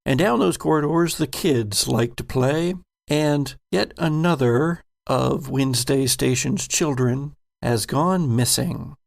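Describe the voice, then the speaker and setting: A man narrating a horror sci-fi tabletop game as game master, in a deliberate, descriptive way. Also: mid-range voice, creaky.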